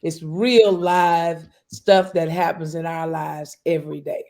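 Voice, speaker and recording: A woman's voice: sits low, fairly even intonation, slow pace, vocal fry, a little mellow. A woman talking in an encouraging, conversational way as a guest in a group interview about a show. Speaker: stage play guest.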